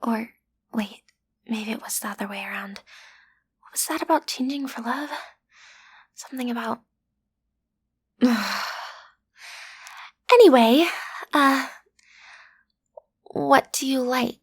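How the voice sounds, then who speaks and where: Woman acting breathy lovestruck teen villainess. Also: fairly high pitch, slow pace, pauses often, heavily acted. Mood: defiant, flustered. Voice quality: breathy, often dropping to a whisper. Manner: hesitant, flustered, playfully menacing. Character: lovestruck teenage schoolgirl, villain's daughter, awkward amateur kidnapper. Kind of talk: audio roleplay acting, intimate direct address to listener.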